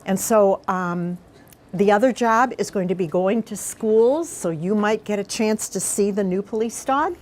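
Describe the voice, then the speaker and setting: A woman's voice: medium pitch, unhurried, darkish tone. A woman reads a picture book to a group of young children. She speaks informally and explains as she goes, checking in with simple questions. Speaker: mayor reading aloud to schoolchildren.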